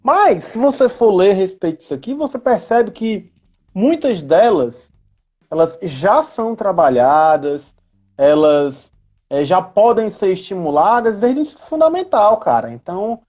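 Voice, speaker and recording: A man's voice: high voice, sing-song, a little slow, darkish tone. Man, explaining casually. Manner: didactic, often checks with tag questions. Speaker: guest educator answering questions on role-playing games. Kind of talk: casual explanatory live chat.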